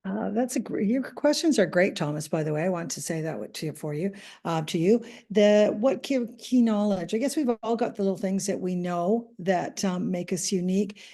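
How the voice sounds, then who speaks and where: A woman's voice: medium pitch, moderate pace, vocal fry, few pauses, warm tone. A Canadian woman, a podcast guest, answering interview questions in a chatty, hesitant way with many ums and restarts. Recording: audio podcast remote recording.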